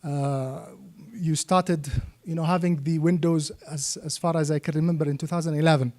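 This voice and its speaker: High-pitched mellow man teaching a seminar. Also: steady tone, normal speed. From Yemen. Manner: checks understanding with frequent "okay?" and "right?". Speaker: trainer and Islamic finance expert.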